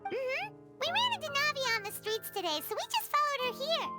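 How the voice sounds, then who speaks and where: Little flying fairy companion, cartoonish game role; very high, animated, childlike delivery. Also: female voice, a little fast, over loud music. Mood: earnest, curious. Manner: childlike, chirpy, refers to herself in third person. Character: small flying childlike female fairy companion, chatty and earnest. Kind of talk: cartoonish game character acting.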